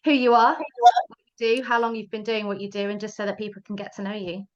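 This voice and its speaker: A woman's voice: mid-range voice, flat delivery, fast. A woman from the UK hosts a webinar interview over a video call. She talks in a chatty, friendly way, and her voice comes through slightly dull and lo-fi. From United Kingdom. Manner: chatty, friendly, laughs easily. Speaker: webinar host.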